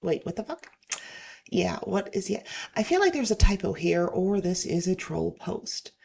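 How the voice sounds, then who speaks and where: A woman hosts a casual story-reading session in a lowish, slightly hoarse voice. There is plenty of fry in it. She moves between reading aloud and offhand commentary in an informal way. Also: animated, normal speed, darkish tone. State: slightly hoarse, getting over illness. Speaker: host reading online stories aloud.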